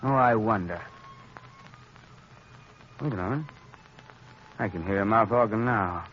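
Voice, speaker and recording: A male actor playing a wealthy, skeptical gentleman with formal diction in a 1930s radio drama. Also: lowish voice, unhurried, close to an everyday voice. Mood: puzzled. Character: wealthy philanthropist, educated, proper, skeptical gentleman. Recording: dull, hissy 1930s radio recording.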